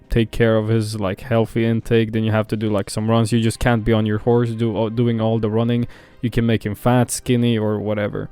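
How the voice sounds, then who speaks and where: A man's voice: mid-range voice, warm tone, smooth. A young man giving casual, profane game commentary as a voice-over, full of "like" fillers. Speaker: gaming YouTuber.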